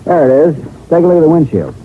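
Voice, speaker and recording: An actor in a 1950s radio drama playing a breezy, money-minded town promoter with a brash, slangy, matter-of-fact manner. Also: male voice, on the high side, very animated, fast. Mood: brash, assured. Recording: tinny band-limited old radio recording, faint noise.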